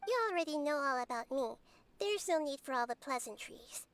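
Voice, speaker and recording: A woman voicing a small, calm girl goddess of wisdom in a game cutscene, speaking in a composed, gently analytical way. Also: high voice, brisk, slight background noise. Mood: calm probing.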